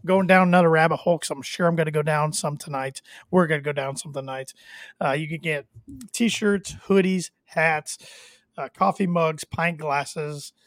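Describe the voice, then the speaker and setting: A man's voice: a little high, steady tone, moderate pace, no echo, studio quality. A man co-hosting a wrestling review podcast, talking casually and a little nasally because he is fighting a cold. He rambles with filler words and restarts. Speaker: podcast co-host.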